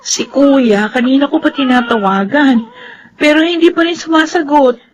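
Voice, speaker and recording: An actress playing a playful, caring young younger sister in Filipino radio drama, with a chirpy manner. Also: female voice, high-pitched, normal speed, muffled recording, a little hiss or hum, close to an everyday voice. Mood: concerned, soothing.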